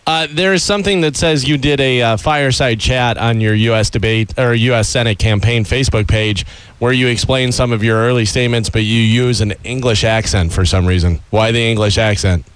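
A man with a smooth, clear voice of middling pitch, chatting casually as a co-host. He sounds close and dry, with a joking style. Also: fairly even intonation, a little fast, no room sound. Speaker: radio co-host. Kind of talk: casual, joking interview chat.

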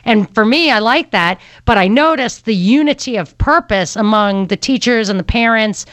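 A woman's voice: fairly high pitch, lively intonation, moderate pace, fairly bright tone, dry recording. A woman hosting a talk radio show, giving her views in a conversational, opinionated way with fillers as she thinks aloud. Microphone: studio microphone.

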